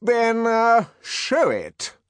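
A man's voice: high voice, big pitch swings, measured pace, no echo, pitched above the performer's own voice. A man voicing a pompous, stern male director who orates grandly. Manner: pompous, orating.

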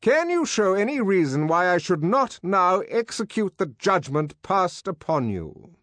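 A man's voice: high-pitched, big pitch swings, measured pace, a bit bright, dry recording, voice pushed up, heavily acted. A man voicing a pompous, stern male director who orates grandly. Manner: pompous, orating.

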